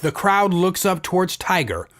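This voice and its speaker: A man's voice: high voice, sing-song, normal speed, a little hiss or hum. A man reading scripted story narration in an audio drama. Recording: loud music under the voice. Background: music.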